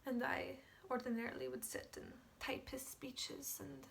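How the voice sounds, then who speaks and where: A woman acting a guilt-ridden, fragile Polish woman in a dramatic monologue, with a Polish accent and halting, stammering speech that often drops to whispers. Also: sits high, level tone, vocal fry, a bit bright, recorded from a distance, a little hiss or hum. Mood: bitter, confessional. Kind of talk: dramatic film monologue, amateur.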